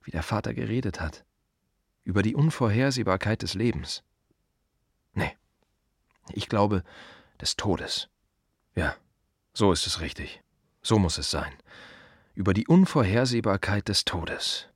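An actor playing a blunt, brooding gravedigger in a radio drama monologue, speaking colloquially in short, repeated, emphatic phrases. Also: male voice, on the low side, animated, measured pace, pauses often, slightly rough, no room sound, clean studio sound, full character voice. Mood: somber, then reflective. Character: blunt, brooding male gravedigger musing on life and death.

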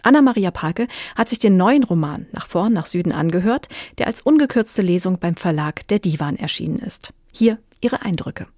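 A woman's voice: lowish voice, lively intonation, normal speed, hardly any pauses, darkish tone, no echo, studio quality. A woman presenting an audiobook review programme as host, in a scripted style. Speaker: radio host.

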